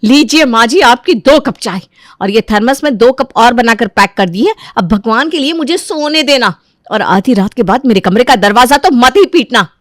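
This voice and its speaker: A woman's voice: a little high, expressive, normal speed, fairly bright tone, no room sound, clean studio sound. A woman playing a resentful, stubborn elder daughter-in-law who grumbles and argues sarcastically in a dramatized moral story. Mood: exasperated.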